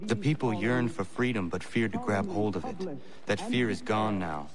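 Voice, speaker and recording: An actor playing a stern, principled young freedom fighter in a video game, speaking formally and solemnly. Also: male voice, fairly low pitch, moderate pace, over a noisy crowd, close to an everyday voice. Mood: solemn, resolute. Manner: formal, solemn phrasing without slang.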